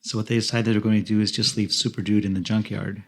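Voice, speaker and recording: A man's voice: lowish voice, little pitch movement, quick pace. A man co-hosting a podcast, narrating a recap of a film's plot. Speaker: podcast co-host.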